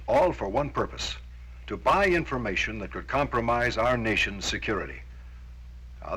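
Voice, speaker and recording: A man's voice: medium pitch, fairly bright tone, breathy edge, poor audio. An American man delivering stern, formal, authoritative scripted narration as presenter of a Cold War-era military training film.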